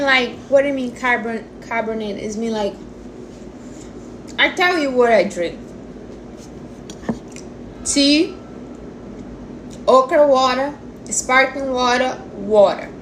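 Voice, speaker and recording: A woman's voice: high voice, slow, long pauses, fairly bright tone, a little hiss or hum. A woman of forty chatting casually and informally in non-native English phrasing, like a host answering viewers. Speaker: livestream host answering viewer questions on diet. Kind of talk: casual chat.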